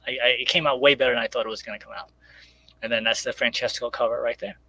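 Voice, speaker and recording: A man talking casually and haltingly as a guest creator plugging his project on a live stream. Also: mid-range voice, fairly even intonation, sharp tone, slight background noise, low-quality recording. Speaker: guest comic creator promoting crowdfunded book and radio show.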